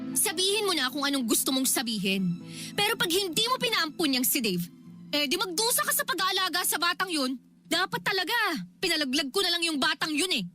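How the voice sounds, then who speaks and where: Bitter, very high-voiced young mother. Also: very animated, fairly quick, full character voice. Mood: scornful. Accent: Filipino. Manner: scornful, snapping, argumentative. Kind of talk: Filipino radio drama acting. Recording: loud music under the voice. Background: loud background music.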